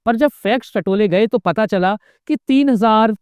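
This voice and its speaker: A man's voice: sits high, normal speed, clear, resonant tone, dry recording, clean studio sound. A man narrating a scripted political commentary, pointed and emphatic, with sarcastic asides. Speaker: political video essayist.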